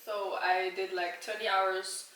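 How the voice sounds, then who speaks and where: Young woman, Swiss-raised, chatty and giggly, bright tone, roomy distant mic. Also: medium pitch, level tone, measured pace, slight background noise. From Switzerland. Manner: chatty, giggly, rambling with fillers. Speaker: vlogger answering questions. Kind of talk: casual chat. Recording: echoey room, mic at a distance.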